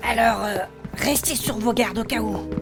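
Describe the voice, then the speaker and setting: A woman's voice: on the high side, moderate pace, loud music behind the voice, natural voice. A woman playing a bossy, brash adventurer who leads her party in a comic fantasy audio drama, blunt and colloquial. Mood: commanding.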